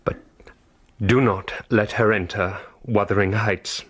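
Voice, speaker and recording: An actor playing a dying father, breathy and faint, speaking slowly. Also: fairly low pitch, fairly even intonation, no echo. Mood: weak. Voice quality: breathy, whispery. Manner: slow, faint. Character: dying father giving last wishes, man.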